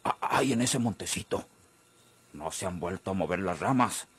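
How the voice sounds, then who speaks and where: An actor playing a menacing, suspicious boss with a gravelly, husky voice and a rural northern Mexican accent in an old radio serial. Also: male voice, sits low, expressive, moderate pace, a bit bright, full character voice. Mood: tense, plotting.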